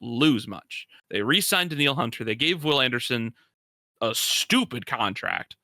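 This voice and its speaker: Bright, forceful male sports podcast co-host. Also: medium pitch, lively intonation, moderate pace, no room sound, studio quality. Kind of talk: casual sports talk, analysis.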